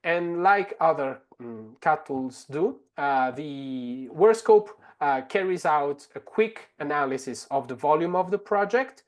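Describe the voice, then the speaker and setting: Italian-accented man presenting a software webinar. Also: fairly high pitch, lively intonation. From Italy. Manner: halting, explanatory. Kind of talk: live software demonstration.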